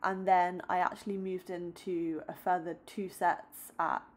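A young woman vlogging about her day and workouts, chatting upbeat to a close phone camera, then calmly explaining each exercise in a voice-over. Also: lowish voice, a little slow, a little hiss or hum. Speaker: fitness vlogger. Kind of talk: casual vlog chat and workout voice-over.

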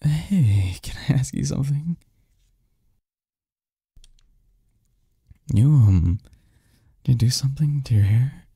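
Man acting a dark, husky lovestruck young man. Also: mid-range voice, lively intonation, no echo, studio quality, exaggerated voice. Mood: nervous, awkward. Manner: halting, breathy, murmured confession with stammers. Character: lovestruck young male research assistant confessing to his best friend. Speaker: audio roleplay performer. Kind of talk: intimate ASMR romance roleplay.

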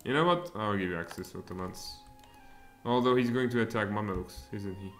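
A man in his thirties with a Czech accent, streaming a strategy game and thinking aloud, with sudden excited outbursts. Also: on the low side, brisk. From Czech Republic. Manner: mutters thoughts aloud, then bursts out excitedly. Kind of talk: live strategy game commentary. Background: faint music and keyboard typing.

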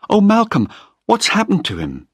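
A man voicing a distressed young woman in an audiobook, high and pleading, frightened yet defiant. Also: huge pitch swings, a little slow, breathy edge, no echo, exaggerated voice. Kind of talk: audiobook character voice.

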